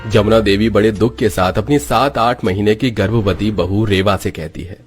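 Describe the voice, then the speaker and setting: A man's voice: on the low side, fairly even intonation, moderate pace, soft background music. A man giving dramatic scripted narration of a story.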